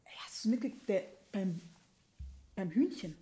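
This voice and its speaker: A woman playing a brisk, literal-minded lumberjack woman in an improvised comedy radio play, blunt and matter-of-fact. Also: mid-range voice, animated, warm tone, echoey room, slight background noise, natural voice. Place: dark curtained theatre stage. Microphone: stand microphone.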